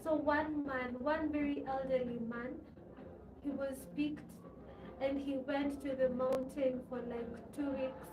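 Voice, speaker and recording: A woman's voice: sits high, steady tone, takes it slowly. A woman with a Kenyan accent chatting casually to livestream viewers, rambling and repeating her phrases with rhetorical questions. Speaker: livestream host talking to her subscribers. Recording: echoey, distant mic, loud background noise.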